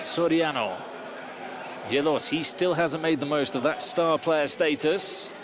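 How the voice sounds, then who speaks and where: A man from Spain with an English accent giving measured, analytical play-by-play football commentary. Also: fairly high pitch. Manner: measured, analytical calling of the action. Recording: loud crowd and stadium noise.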